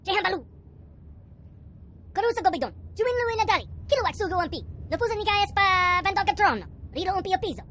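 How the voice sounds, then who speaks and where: A woman's voice: very high-pitched, lively intonation, fast talker, a bit bright, slight background noise. A woman voicing a small alien creature that chatters away in gibberish for a video game. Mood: jabbering. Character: small, jabbering, high-voiced alien creature.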